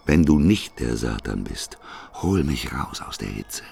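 A grumbling prophet in a radio play, with a rock-bottom, creaky voice. He is sardonic and defiant, sounding rough and husky as he complains. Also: lively intonation, unhurried, darkish tone, full character voice. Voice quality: very deep, gravelly, rough voice. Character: grumbling, defiant male prophet trapped in fire.

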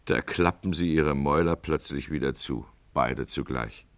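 An actor playing a weary, sardonic town judge in a radio play, shifting between confiding narration and declaimed appeals for peace. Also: male voice, very deep voice, normal speed, no echo. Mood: wry, resigned. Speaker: judge and first-person narrator.

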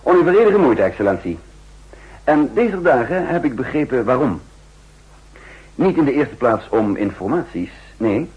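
An actor playing a shrewd, insistent counter-espionage chief in a 1960s radio drama, speaking formally and emphatically with a husky voice. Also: male voice, mid-range voice, very animated, moderate pace, pauses often. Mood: urgent, confident. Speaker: narrator and main character. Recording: muffled old 1960s radio recording.

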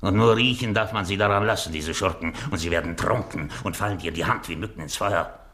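Greedy Venetian schemer, low forceful voice, theatrical declamation, gloating, radio drama. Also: male voice, quick pace. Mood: scornful, lecturing. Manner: theatrical, declamatory, savouring words. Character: gloating, miserly rich Venetian schemer who feigns dying. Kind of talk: German radio drama acting.

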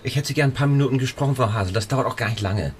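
An actor playing an awkward, nosy small-town newspaper reporter in a radio play, stammering and over-polite. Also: male voice, mid-range voice, racing, slight background noise, natural voice. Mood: awkward, probing. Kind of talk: German radio play acting.

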